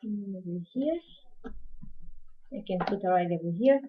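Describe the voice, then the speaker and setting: A woman's voice: medium pitch, expressive, unhurried, husky. A woman from Portugal with a Portuguese accent, chatting casually with viewers while demonstrating a craft project on a live stream. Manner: chatty, answers viewer questions while working. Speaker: crafting tutorial host. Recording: dull lo-fi livestream audio.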